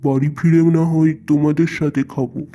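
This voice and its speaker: A man's voice: on the high side, little pitch movement, a little fast, lightly acted. Man voicing a weary poor farmer. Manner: weary, pleading. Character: poor indebted male farmer, middle-aged, weary and humble. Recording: cartoon dub with loud background music.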